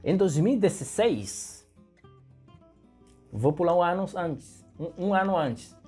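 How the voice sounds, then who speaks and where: Husky man with a Venezuelan accent. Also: high voice, expressive, slow pace, mellow, faint music behind. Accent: Venezuelan Spanish. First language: Spanish. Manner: emphatic, talks straight to the viewer. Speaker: political commentator. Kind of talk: explanatory political commentary.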